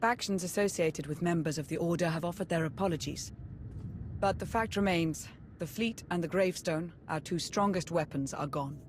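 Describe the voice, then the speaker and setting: Game role: female actor as cool adviser and spymaster, lowish voice, precise delivery, faintly noisy background. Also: normal speed, natural voice. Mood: sober, composed. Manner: composed, precise. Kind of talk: video game cutscene acting.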